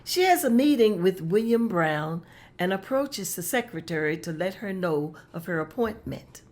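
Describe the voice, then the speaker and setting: Woman narrator, stage directions, lively pitch, lowish voice, matter-of-fact. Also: normal speed. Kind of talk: reading stage directions in a table read.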